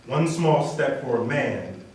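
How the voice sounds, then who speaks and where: A man's voice: medium pitch, lively intonation, takes it slowly, a little mellow. A man delivers a dramatic spoken-word reading. He is heard from a distance in a heavily echoing room, over faint background noise. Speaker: wedding reader. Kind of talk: dramatic spoken-word recitation, reader's theater. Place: large echoey room at a wedding. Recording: echoey hall, distant mic, faint noise.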